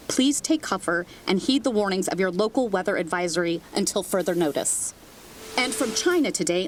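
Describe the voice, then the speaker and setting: A woman's voice: mid-range voice, a little mellow, slight background noise, natural voice. A woman playing a serious, composed television news anchor reading a scripted bulletin in an audio drama.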